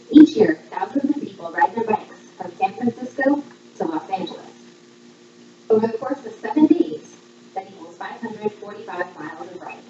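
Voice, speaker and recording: A woman reading a scripted voice-over like a playful quiz presenter, setting out each line clearly. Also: a little hiss or hum. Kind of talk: scripted voice-over narration, trivia quiz for a fundraiser. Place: voice audio played back in a room during filming. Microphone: far camera picking up played-back audio. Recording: echoey, distant, muffled low-quality playback.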